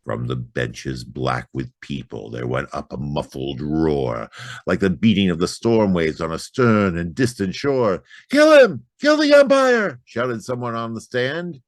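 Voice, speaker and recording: A man's voice: rumbling low, measured pace, no echo, clean studio sound. A man reading narrative poetry aloud in a theatrical, emphatic dramatic recitation with big dynamic swings. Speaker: poetry reader.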